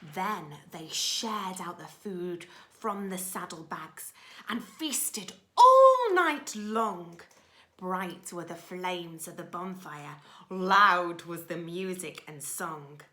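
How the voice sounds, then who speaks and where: A woman reading a children's story as a teacher would, expressively and dramatically, moving between full voice and hushed asides. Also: on the low side, lively intonation, slow. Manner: dramatic, alternating loud lines with hushed asides.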